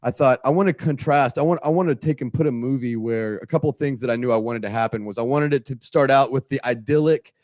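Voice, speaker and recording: A man's voice: mid-range voice, fast, hardly any pauses, darkish tone, no echo. Man casually telling stories, dry sound. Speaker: guest writer of the production. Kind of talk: casual storytelling in an interview.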